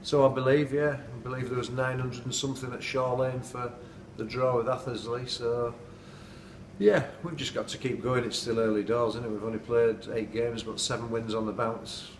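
Football manager, flat and roomy. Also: male voice, mid-range voice, normal speed, far from the mic. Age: middle-aged. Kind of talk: post-match interview. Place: indoors at night, dim room. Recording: echoey room, off-mic, noisy.

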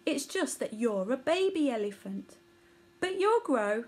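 A woman voicing a gentle, wise mother elephant in a children's storybook, explaining things solemnly. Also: high-pitched, big pitch swings, unhurried. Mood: gentle, reassuring.

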